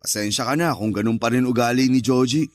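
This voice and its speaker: An actor playing a firm but considerate older brother in a radio drama. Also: male voice, mid-range voice, fast, faint music behind, lightly acted. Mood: gentle, conciliatory. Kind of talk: Tagalog radio drama acting.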